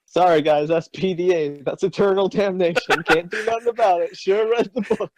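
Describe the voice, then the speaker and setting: A co-host reacting to a reading with slow, wry quips and a lot of laughter. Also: medium pitch, darkish tone. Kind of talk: comedic chat.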